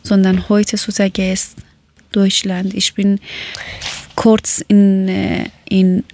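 A woman, chatting casually and often pausing to search for words. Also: medium pitch, completely flat delivery, a little mellow, dry recording. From Afghanistan. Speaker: conversation partner. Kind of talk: casual chat in learner German.

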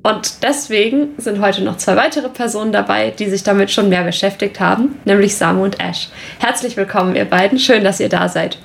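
A young woman hosts a conversation podcast in a measured, orderly way. Her mid-pitched voice carries a gravelly vocal fry. She introduces topics and guests calmly. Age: young adult. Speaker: podcast host. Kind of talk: podcast hosting, partly read from script.

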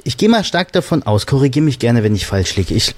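A man's voice: mid-range voice, big pitch swings, a little fast. A man hosting a casual podcast conversation, speaking colloquially and candidly with a joking streak. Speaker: podcast host.

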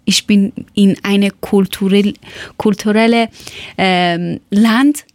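Slow-talking woman with a Persian accent. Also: mid-range voice, fairly even intonation, darkish tone, dry recording. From Iran. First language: not German. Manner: halting, searching for words, repeats herself. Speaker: former teacher telling her life story. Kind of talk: personal spoken account.

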